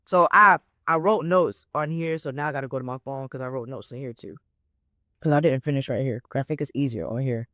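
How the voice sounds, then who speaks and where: A woman's voice: extremely low pitch, a little fast, fairly bright tone, no echo, studio quality. A woman in her twenties, a life coach hosting a solo motivational podcast, talking casually and often checking in with "right?" and "okay?". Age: 20s. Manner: repeats herself to stress points.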